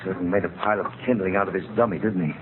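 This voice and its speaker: A man's voice: low-pitched, fairly even intonation, rapid-fire, natural voice. A man playing a courteous, puzzled police inspector in 1940s radio style. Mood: brisk. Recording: tinny, noisy old radio recording.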